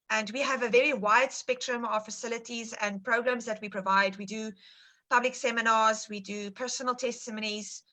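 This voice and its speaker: A woman's voice: on the high side, sharp tone. A woman in full-time ministry introducing herself on an online panel, listing her work in an orderly way over a video call.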